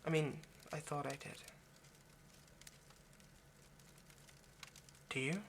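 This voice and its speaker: A man playing an anxious, lovesick vampire kidnapper in an intimate audio roleplay, speaking in a halting, pleading way. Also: on the high side, fairly even intonation, vocal fry, a bit bright, a little hiss or hum. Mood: tender, wistful. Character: anxious, lovesick male vampire kidnapper, centuries old but youthful.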